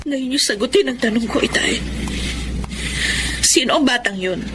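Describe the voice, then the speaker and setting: A woman's voice: high voice, moderate pace, music in the background, lightly acted. A woman with a Filipino accent playing an anguished, protective young eldest daughter in a radio drama. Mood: hurt, accusing.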